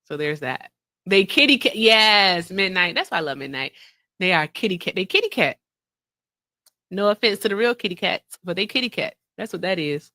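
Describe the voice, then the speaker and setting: A woman's voice: mid-range voice, expressive, normal speed, pushed and bright, dry recording, studio quality. A woman in her late thirties hosting a casual livestream, chatty and laughing often, calling her viewers y'all. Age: 30s. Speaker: livestream host.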